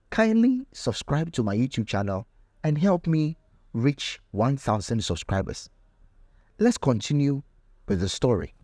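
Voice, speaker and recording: A man narrating a scripted folktale in a measured, solemn and theatrical manner. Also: mid-range voice, darkish tone, dry recording, studio quality. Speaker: storyteller and channel host.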